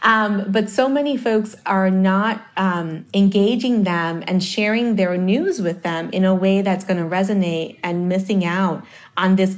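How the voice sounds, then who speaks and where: Thoughtful woman with many fillers. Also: lowish voice. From Dominican Republic. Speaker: marketing guest. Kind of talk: casual conversation.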